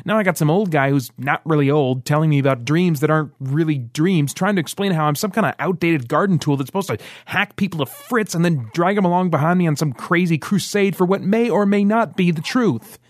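A man voicing a brash, defensive young man who rants with his voice jumping high. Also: lively intonation, brisk, dry recording, clean studio sound. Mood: ranting. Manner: loud, ranting, voice jumping high.

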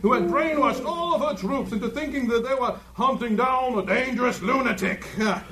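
An actor playing a boastful, cheerful, bombastic gentleman hero in a comic audio drama. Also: very high voice, brisk, slight background noise. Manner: bombastic, grandiose. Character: pompous, boastful, cheerful male hero adventurer.

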